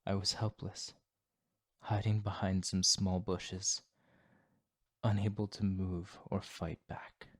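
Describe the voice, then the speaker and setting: A man voicing a remorseful male vampire fighting his bloodlust, in a solemn, hushed, formal ASMR roleplay monologue. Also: deep voice, flat delivery, takes it slowly, long pauses, dry recording, clean studio sound, heavily acted. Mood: haunted, grieving.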